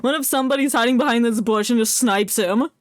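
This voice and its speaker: A woman's voice: a little high, quick pace, dry recording, clean studio sound. A woman livestreamer giving casual, joking game commentary in a playful, sarcastic way.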